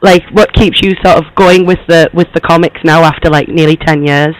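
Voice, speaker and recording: Hoarse, high-pitched young woman reporter, played by a man, rambling and filler-heavy, dry sound. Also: steady tone, a little fast, no room sound, close to an everyday voice, low-quality recording. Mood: friendly, chatty. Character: young woman magazine journalist, chatty and polite. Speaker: prank caller posing as interviewer. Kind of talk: prank phone call.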